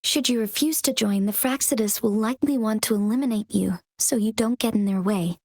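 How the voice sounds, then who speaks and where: An actress playing a composed young female leader in a video game cutscene, speaking calmly and formally in measured explanations. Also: fairly high pitch, no room sound, clean studio sound. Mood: earnest.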